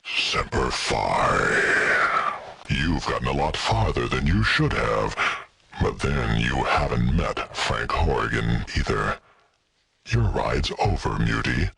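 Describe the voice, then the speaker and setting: An actor playing a huge, brutal government enforcer in a video game, growling with slow, gravelly, contemptuous menace. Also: male voice, rumbling low, big pitch swings, bright, forceful tone, full character voice. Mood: dying defiance. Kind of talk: scripted video game voice acting.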